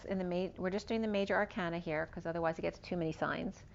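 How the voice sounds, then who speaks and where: A woman giving a casual tarot reading, rambling through cards and star signs, her voice going hoarse. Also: on the low side, fairly even intonation, fast talker, a little hiss or hum. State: losing her voice. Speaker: tarot reader. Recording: dull lo-fi recording.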